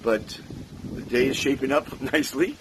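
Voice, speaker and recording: A man's voice: medium pitch, normal speed. An upbeat man chats to his phone camera at a windy outdoor resort. His voice is strained and fading, but he stays cheerful. A faint background hiss sits under the recording. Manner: upbeat, enthusiastic. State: losing his voice. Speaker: vlogger. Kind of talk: casual vlog chat.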